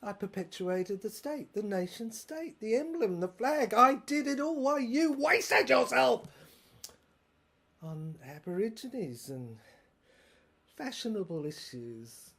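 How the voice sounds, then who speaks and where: A woman's voice: a little high, animated, slow, husky, exaggerated voice. A woman playing a ranting, scheming, power-mad brother and corrupt prosecutor in an audio drama, with a theatrical, manic, rambling delivery. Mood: self-justifying. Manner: theatrical, manic rambling, swinging from shouting to muttering.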